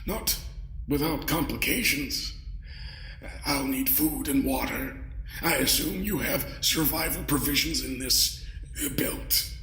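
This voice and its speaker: A man voicing an ancient, courtly alien mind-parasite in an audio drama, pompous and smugly menacing, savoring his pauses. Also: medium pitch, creaky, pushed and bright, full character voice. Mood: politely menacing. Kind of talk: scripted audio drama acting. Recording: roomy echo.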